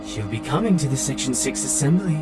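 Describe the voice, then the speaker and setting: A man's voice: mid-range voice, expressive, fairly quick, loud music behind the voice, close to an everyday voice. A man voicing a smug, know-it-all artificial intelligence from a sci-fi visual novel, teasing and cryptic. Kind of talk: visual novel character voice read by player.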